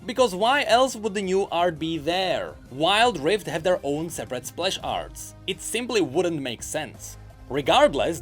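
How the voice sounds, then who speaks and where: A host reading scripted gaming news with theatrical emphasis and a sarcastic streak. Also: medium pitch.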